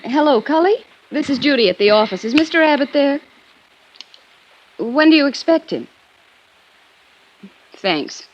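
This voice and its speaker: A woman playing a brisk, evasive office secretary in an old radio detective drama. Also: high-pitched, lively intonation, a little hiss or hum. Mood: brisk, polite. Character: curt, guarded female office secretary. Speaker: supporting actor. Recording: muffled old radio recording.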